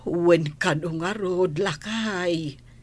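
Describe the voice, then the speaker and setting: A woman's voice: lowish voice, very slow. An actress playing a patient, caring older village wife and mother in a radio drama. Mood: concerned.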